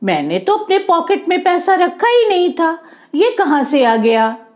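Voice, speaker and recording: A woman's voice: very high-pitched, sing-song, a little slow, pitched above the performer's own voice, exaggerated voice. A woman voicing an obedient, innocent young boy in a children's story, speaking politely and simply. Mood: innocent surprise.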